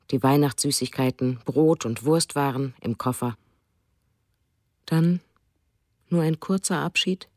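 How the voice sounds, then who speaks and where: A woman's voice: very deep voice, a little mellow, no room sound, studio quality. An actress reading the diary of a wistful German writer and mother for a radio drama.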